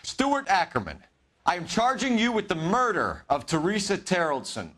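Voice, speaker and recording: 1940s American detective for a crime game: by-the-book, clipped, formal, animated pitch, music underneath. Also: male voice, moderate pace, heavily acted. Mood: firm, accusing. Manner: firm, clipped, formal questioning. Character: earnest, by-the-book 1940s police detective, adult man. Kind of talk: video game cutscene acting, police interrogation.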